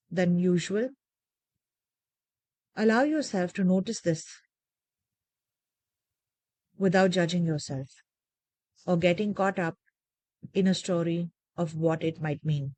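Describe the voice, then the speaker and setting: A woman leading a guided meditation with gentle, measured, soothing instructions and deliberate pauses. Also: on the low side, no room sound.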